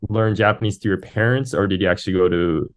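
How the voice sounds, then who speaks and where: A man's voice: low-pitched, fast. A man on a podcast who asks curious follow-up questions. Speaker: podcast co-guest. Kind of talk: casual interview chat.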